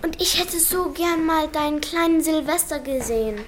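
Practical, chatty school friend, female performer: high child voice, encouraging, German children's audio play, faint background noise. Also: moderate pace, lightly acted.

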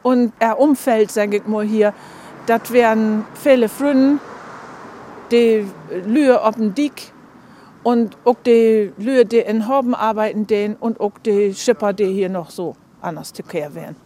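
A woman with a northern Low German accent explains conversationally, as an academic being interviewed. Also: unhurried, mellow, a little hiss or hum.

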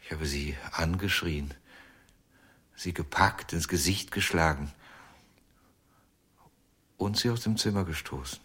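A husky, haunted ventriloquist. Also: male voice, low-pitched, fairly bright tone, exaggerated voice. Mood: remorseful. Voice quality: husky, hesitant with many pauses. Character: haunted, obsessive male ventriloquist, middle-aged. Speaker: ventriloquist under questioning. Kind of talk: German radio drama.

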